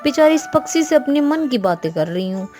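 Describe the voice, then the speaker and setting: Kind-hearted young heiress, voiced by a woman: high, animated, earnest, chatty, storybook with music bed. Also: a little fast. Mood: wistful. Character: rich businessman's sweet, kind-hearted, chatty young daughter.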